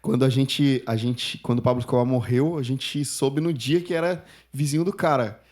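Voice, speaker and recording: A man in his thirties, a native Spanish speaker, telling his story as a podcast guest in a casual, animated way. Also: medium pitch, moderate pace. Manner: chatty, animated storyteller, laughs while telling.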